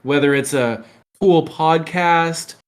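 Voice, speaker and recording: A man's voice: fairly high pitch, slow pace, a little hiss or hum. A man hosting a casual podcast discussion, giving advice in a frank, practical way. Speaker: podcast host.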